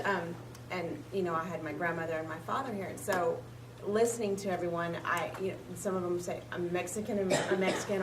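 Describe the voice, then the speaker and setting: A woman's voice: fairly low pitch, noisy background. A woman school principal speaking earnestly on a panel, with light laughs. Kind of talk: panel discussion. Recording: echoey room, far from the mic.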